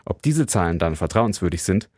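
Deep-voiced male radio correspondent. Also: animated, darkish tone, no echo, clean studio sound. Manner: measured, matter-of-fact delivery. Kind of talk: scripted news report.

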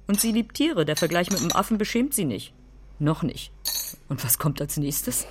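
A woman's voice: lowish voice, lively intonation, normal speed, noisy background, natural voice. A woman playing an indignant mother in a children's radio play, lecturing with reproachful emphasis. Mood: bitter, emphatic.

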